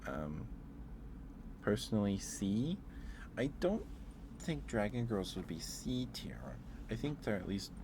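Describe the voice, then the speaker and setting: A man with a low voice speaks softly in a casual ASMR livestream. He rambles a little, hesitating as he goes, and there is steady noise behind him. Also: takes it slowly, pauses often. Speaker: live streamer and host.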